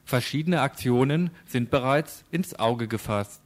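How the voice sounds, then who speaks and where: Mid-pitched man reading radio news. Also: measured pace. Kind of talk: scripted news narration.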